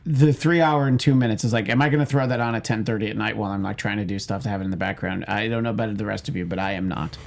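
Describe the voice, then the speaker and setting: A man's voice: fairly low pitch, fairly even intonation, very fast, creaky, few pauses. A middle-aged man chatting casually as a panelist on a movie talk show. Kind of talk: casual chat.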